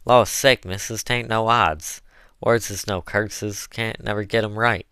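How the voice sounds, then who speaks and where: A man's voice: lowish voice, steady tone, measured pace, bright, forceful tone, clear, resonant tone, no room sound, clean studio sound, voice pushed up. A man voicing an eager, good-humored woman, an enslaved cook, in folksy dialect for an audiobook. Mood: insistent, cheerful. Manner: folksy dialect with mispronounced words. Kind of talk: audiobook character voice.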